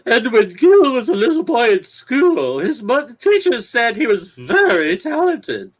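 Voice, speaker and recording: A woman's voice: a little high, sing-song, measured pace. Woman playing a doting, genteel middle-aged mother. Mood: proud, polite. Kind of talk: amateur dramatic reading of a 1950s romance comic.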